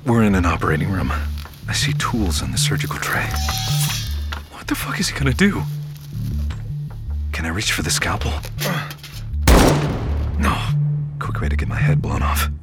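A man's voice: sits low, sing-song, moderate pace, pauses often. An actor playing a terrified, wired captive who narrates his ordeal in clipped inner monologue for an audio drama. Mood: racing, panicked. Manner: clipped present-tense inner monologue, swearing. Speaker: narrator and lead character. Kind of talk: first-person scripted audio drama narration. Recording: loud music bed under the voice. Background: dramatic music.

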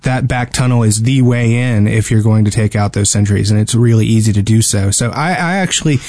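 A man's voice: fairly low pitch, a little fast, darkish tone, no echo, studio quality. A man co-hosting a casual gaming discussion, talking in a hesitant, rambling way and often repeating words. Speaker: podcast co-host. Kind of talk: casual video game discussion.

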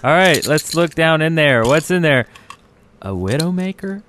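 A man's voice: a little high, expressive, moderate pace, fairly bright tone. Playful man hosting, faint noise behind. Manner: playful, repeats words for fun. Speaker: podcast host. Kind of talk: casual, jokey group podcast chat.

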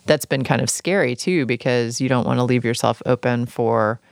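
A man's voice: medium pitch, normal speed, vocal fry, smooth, no room sound, studio quality. A man hosting a podcast interview, talking informally and easing his way into questions. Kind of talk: casual conversational interview.

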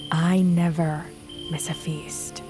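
A woman's voice: lowish voice, little pitch movement, takes it slowly, music in the background. An actress in an audio drama playing a stubborn, loyal young exile, a queen's defiant daughter. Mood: guarded, defiant. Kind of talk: audio fiction drama acting.

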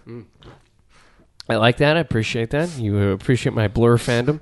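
Dry-voiced rambling middle-aged podcast host. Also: male voice, medium pitch, moderate pace, no room sound. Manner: rambling, deadpan, trails off and restarts. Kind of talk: casual joking banter. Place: home garage studio.

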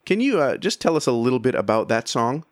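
A man's voice: mid-range voice, big pitch swings, fast, no echo, studio quality. A man hosting an interview show, putting questions to a guest in a conversational style.

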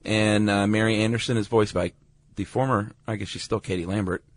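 A man's voice: on the low side, fairly even intonation, fairly quick, dry recording. A man hosting a podcast in a casual, hesitant, dry conversational way. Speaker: podcast host. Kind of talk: casual chat, introducing a cast.

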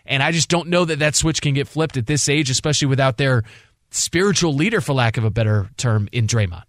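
A man's voice: medium pitch, lively intonation, a little fast, no room sound, clean studio sound. A man co-hosting sports talk radio, conversational and emphatic, often repeating words for stress.

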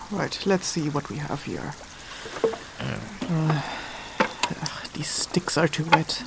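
A woman's voice: deep voice, expressive, creaky, heavy background noise, full character voice. A woman acting a practical, commanding but caring rune-wielding traveller in a fantasy audio drama. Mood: muttering, grumbling. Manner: brisk, commanding.

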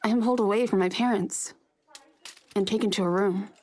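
A woman's voice: medium pitch, steady tone, brisk, a little mellow, lightly acted. A woman narrating an audiobook as a grown woman recalling her childhood in short, plain, wistful sentences. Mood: plain, reflective.

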